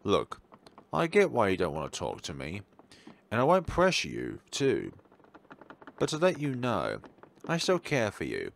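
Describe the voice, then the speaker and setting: A man's voice: fairly low pitch, wildly swooping pitch, a little slow, gravelly, pauses often, a little hiss or hum, exaggerated voice. A man voicing an anxious, remorseful male student in a horror visual novel, earnest and sometimes urgent. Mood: earnest, apologetic. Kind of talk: visual novel read aloud dramatically.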